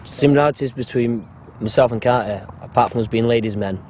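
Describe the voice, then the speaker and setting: A man's voice: mid-range voice, a little fast, darkish tone, slight background noise. A man in his twenties with a Mancunian accent, an actor telling joking, rambling anecdotes in a casual interview. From Salford, Manchester. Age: 20s.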